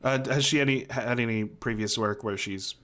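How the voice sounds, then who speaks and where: A man's voice: medium pitch, normal speed, a crowd in the background. A man in his thirties chatting casually about film and TV as a podcast co-host, swearing now and then, close on a mic at home. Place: small home room, remote call.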